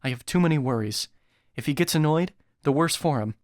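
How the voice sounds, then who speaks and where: A man voicing an earnest young prince, firm, calm and formal, in an epic audiobook. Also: fairly high pitch, brisk, no echo, clean studio sound, natural voice. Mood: impatient, burdened.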